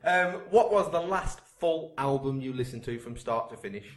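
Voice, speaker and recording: Chill podcast host guy, jokey, pitch bouncing around a lot. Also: lively intonation, moderate pace. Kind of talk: casual podcast chat.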